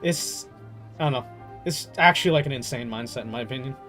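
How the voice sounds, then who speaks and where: A man's voice: medium pitch, quick pace, fairly bright tone, faint music behind. A man chatting casually over gameplay as a livestreamer, rambling and opinionated, with slangy, amused, incredulous talk and some swearing. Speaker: livestreamer playing a video game.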